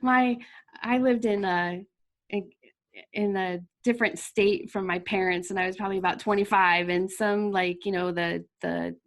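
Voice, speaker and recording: A woman's voice: medium pitch, fairly even intonation, moderate pace. A middle-aged woman, an author, chatting in an interview; chatty, self-deprecating, laughing often. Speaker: author and podcast guest. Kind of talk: casual interview chat.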